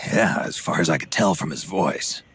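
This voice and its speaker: An actor playing a gruff, weary veteran soldier with a gravelly growl, terse and questioning, in a video game cutscene. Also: male voice, very deep voice, animated, normal speed, fairly bright tone, exaggerated voice. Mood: guarded, subdued.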